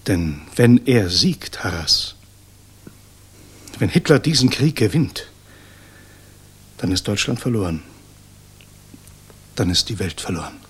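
Guilt-ridden resistance idealist, 1960s German radio drama, lowish husky voice, solemn, fervent, deliberate pauses. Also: male voice, sing-song, full character voice. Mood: solemn, urgent.

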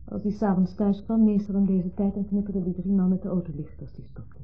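A woman's voice: medium pitch, little pitch movement, fairly quick, natural voice. A female actor playing a composed wife caught between two men in a 1970s Dutch radio drama, restrained and clipped in her replies. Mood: wistful recollection. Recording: muffled old 1970s radio recording.

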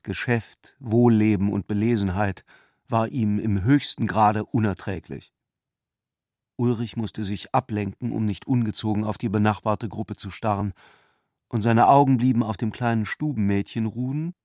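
A man's voice: lowish voice, darkish tone, dry recording, studio quality. A man reading literary narration as the narrator of a radio play.

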